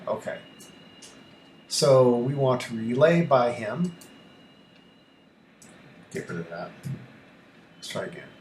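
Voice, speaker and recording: A man thinking aloud through a casual screen tutorial as a hobby radio host, halting and muttering to himself as he works. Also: mid-range voice. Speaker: amateur radio YouTuber, host. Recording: echoey room with faint background noise.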